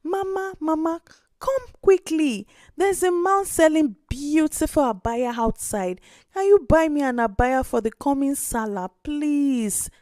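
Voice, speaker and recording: Woman voicing an eager, high-pitched pleading little girl. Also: a little slow, no echo, studio quality. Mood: excited, pleading. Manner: pleading, excited. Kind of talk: storybook character voice.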